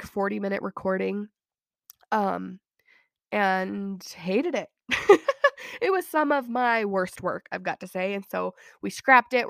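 A woman's voice: medium pitch, gravelly, smooth, dry recording, clean studio sound. A woman in her thirties from Utah hosting a casual solo podcast, chatty and self-deprecating with frequent giggles, her voice thickened by a cold. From Utah, USA. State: has a cold. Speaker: podcast host.

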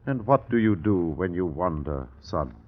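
An actor playing a pious, stern old father, solemn and anguished, with a husky voice in a radio drama. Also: low voice, big pitch swings, moderate pace, heavily acted. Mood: solemn, reproving. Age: older. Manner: solemn, scripture-quoting, anguished. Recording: dull, muffled old radio recording.

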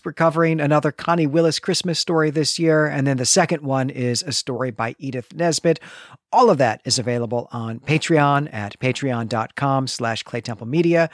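A man's voice: on the high side, no echo, studio quality. A man co-hosting a literary podcast, speaking conversationally and explaining his thinking as he reasons aloud. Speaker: podcast co-host.

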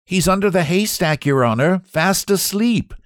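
Man voicing a cheeky, high-voiced farm lad. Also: big pitch swings, moderate pace, a bit bright, no room sound, studio quality. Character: eager, scheming young farm lad.

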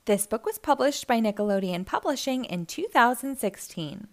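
Lively, high-voiced woman reading a storybook. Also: normal speed. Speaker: children's book reader. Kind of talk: expressive read-aloud storybook narration.

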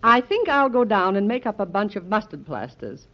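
An actress in a 1940s radio drama playing a president's fussing, long-suffering wife with a wry, gently scolding manner. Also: female voice, medium pitch, sing-song, moderate pace. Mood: wry, put-upon. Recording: dull old radio recording.

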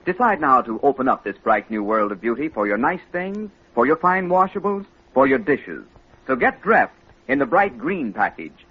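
A man with an American accent giving a scripted 1940s radio advertising pitch as a commercial announcer. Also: sing-song, moderate pace, slightly rough. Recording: muffled old radio recording with music.

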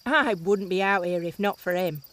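An actress playing a brusque, self-interested heiress in a fantasy audio drama, offhand and dry. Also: female voice, lowish voice, moderate pace, a bit bright, close to an everyday voice. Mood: dry, casual.

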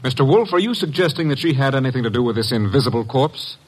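A man playing a defensive, snapping murderer in an old radio drama, with a husky voice. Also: medium pitch, animated, quick pace, low-quality recording. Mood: defensive, flustered. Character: cornered, defensive male murderer turning menacing. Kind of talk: 1950s radio drama acting.

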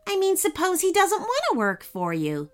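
A plucky young storybook girl, voiced by a woman. She is high and bright-spirited in pitch, frank and polite, with lively emphatic swings as she speaks. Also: normal speed, full character voice. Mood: polite, challenging.

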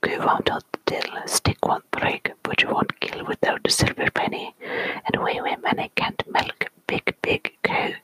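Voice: unhurried, sharp tone, no echo. A storyteller voicing a wee farm boy from a folk rhyme, coaxing and exasperated, whispered with a put-on Scottish accent. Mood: complaining. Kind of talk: whispered folk tale reading.

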